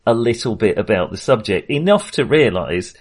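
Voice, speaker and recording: A man's voice: lowish voice, expressive, normal speed, slightly rough. A man co-hosting a casual film discussion in a conversational, hedging manner. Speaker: film podcast co-host.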